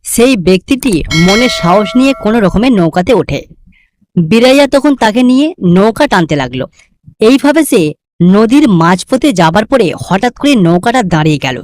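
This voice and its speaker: Female narrator, animated intonation, scripted fairy-tale storytelling, cartoon story. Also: mid-range voice.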